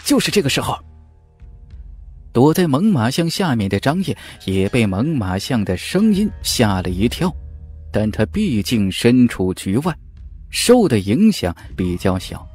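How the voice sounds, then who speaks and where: A man narrating a fantasy novel audiobook in an animated, dramatic storytelling manner. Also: mid-range voice, unhurried, breathy edge, faint music behind.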